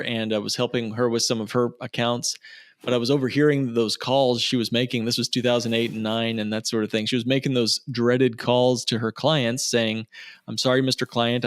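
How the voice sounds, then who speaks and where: A man explains things in a conversational, persuasive way as an expert podcast guest. His pitch is middling and he leans on repetition for emphasis. The sound is very dry, with little room in it. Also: no echo. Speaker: financial planner, podcast guest.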